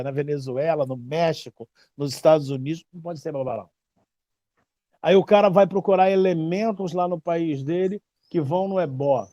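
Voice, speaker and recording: A Brazilian man in his fifties talks at length as a teacher, his voice warm and mellow yet pitched a little high. He stresses points by repeating himself and tells stories with lively emphasis. The sound is dry and close, with no room echo. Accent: Brazilian Portuguese. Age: 50s. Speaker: babalawo teacher. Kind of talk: casual explanatory talk.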